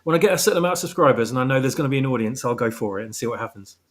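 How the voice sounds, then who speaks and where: A man's voice: mid-range voice, animated, rapid-fire. A man chatting casually as a guest on a live stream, polite and modest in how he talks. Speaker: guest, audio drama creator.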